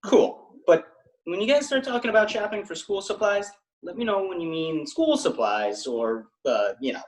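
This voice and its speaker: A man reading the part of a dry, wry teenage boy in a sitcom script, deadpan and halting. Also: high voice, rough recording. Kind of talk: script table read.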